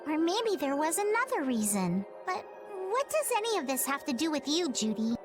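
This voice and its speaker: An earnest young heroine in a video game. Her voice is high and lively, thoughtful and inquisitive, with music clearly behind it. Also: normal speed. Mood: earnest, puzzled. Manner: earnest, questioning. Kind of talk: scripted video game acting.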